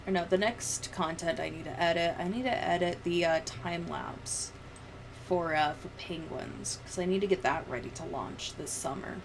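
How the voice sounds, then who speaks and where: Woman streamer, kinda low voice, rambly casual chat, bit of noise in the background. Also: vocal fry, poor audio. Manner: rambling, thinking out loud. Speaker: livestreamer.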